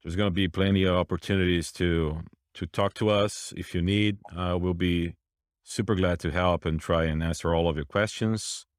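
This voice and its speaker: Deep-voiced man, relaxed. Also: normal speed, smooth, no room sound, clean studio sound. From Brazil. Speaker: instructor.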